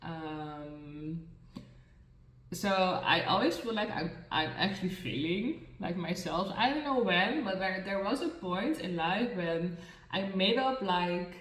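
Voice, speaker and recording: A young woman with a Dutch accent chats to her camera while doing her makeup, in a slow, unhurried way. She is hesitant and self-conscious, restarting her sentences and filling the gaps with "um". Her voice carries some echo from the room, and she sits a little away from the mic. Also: lowish voice, expressive, fairly bright tone. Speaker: beauty and mental health vlogger. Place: room in a new home, indoors. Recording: echoey room, mic far from the speaker.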